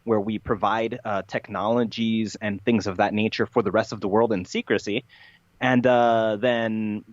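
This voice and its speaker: A man telling his story as a podcast guest in a casual, matter-of-fact deadpan, with halting phrasing. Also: on the low side, no room sound. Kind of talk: casual interview storytelling, played straight. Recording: dull, slightly lo-fi podcast audio.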